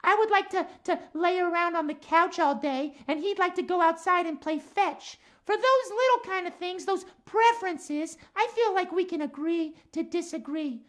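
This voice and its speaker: A man voicing an earnest, principled female cat puppet for a children's show, with a halting, repetitive way of talking. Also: extremely high pitch, fairly bright tone, pitched above the performer's own voice.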